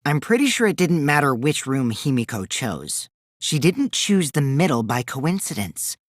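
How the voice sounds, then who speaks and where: A man's voice: medium pitch, big pitch swings, moderate pace, dry recording, clean studio sound. A man voicing a mischievous, taunting teenage boy who lies with glee, in theatrical anime video game style. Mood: calm, reasoning. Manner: theatrical, swinging from gleeful mockery to cold remarks.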